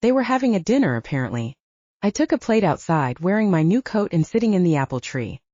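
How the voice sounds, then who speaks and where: A woman narrating a first-person fantasy audiobook, with expressive storytelling and lively voiced dialogue. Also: fairly low pitch, fast, dry recording. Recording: dull lo-fi dry recording.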